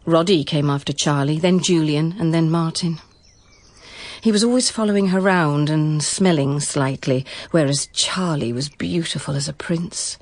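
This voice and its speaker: A woman's voice: low-pitched, moderate pace, darkish tone, no room sound. A woman with a British accent reading literary, scripted narration for a radio drama.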